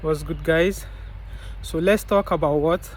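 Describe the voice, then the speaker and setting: A man's voice: on the high side, measured pace, a little hiss or hum. A young man giving a preachy motivational talk, answering his own rhetorical questions and calling the listener bro and man. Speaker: motivational vlogger. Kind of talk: casual motivational monologue.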